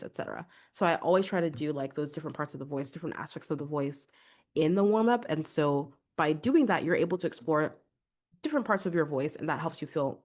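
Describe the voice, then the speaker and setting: Low-voiced congested woman vocal coach. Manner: chatty, encouraging, fillers like "like" and "right?". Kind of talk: podcast advice monologue.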